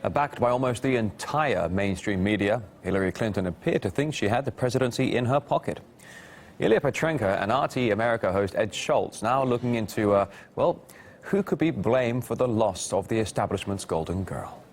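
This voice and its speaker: A man's voice: fairly low pitch, animated, a little fast, slight background noise. A man anchoring the news, introducing a report in a broadcast style.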